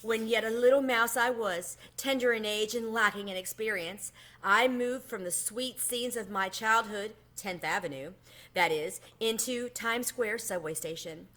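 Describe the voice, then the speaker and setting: A woman voicing a high, melodramatic little male mouse. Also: measured pace, bright, forceful tone. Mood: choked-up, mournful. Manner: theatrical, choked-up, pleading, with quaint inverted phrasing. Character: melodramatic, self-pitying, miserly little male city mouse. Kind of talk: children's storybook read-aloud.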